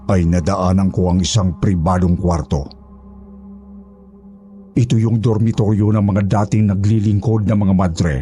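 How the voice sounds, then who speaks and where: A man's voice: low-pitched, warm tone. A man narrating a scripted horror story in the first person as its storyteller.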